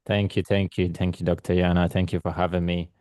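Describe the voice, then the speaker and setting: A man's voice: low voice, little pitch movement, a little fast, darkish tone, no echo, studio quality. A man in his thirties, born in Ethiopia and raised in London, talking casually as a guest photographer, rambling with many ums and restarts. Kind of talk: casual interview chat. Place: remote call from London.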